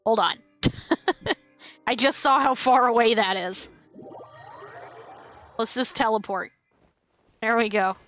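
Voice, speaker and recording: A woman's voice: a little high, slow pace, long pauses, a bit bright, faint music behind. A woman chatting casually and playfully as she commentates on a live game stream.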